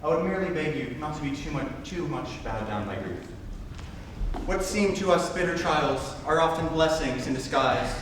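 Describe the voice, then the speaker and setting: An unctuous, kindly country clergyman from a stage comedy, acted by a young man. His slightly high voice rises and falls with pompous concern. It comes from far off in a noisy, echoing hall. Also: lively intonation, normal speed, lightly acted. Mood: unctuous, solicitous. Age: young adult. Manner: pompous, solicitous, repeats himself. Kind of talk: amateur dramatic reading of a stage comedy. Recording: echoey hall, distant mic, clear noise.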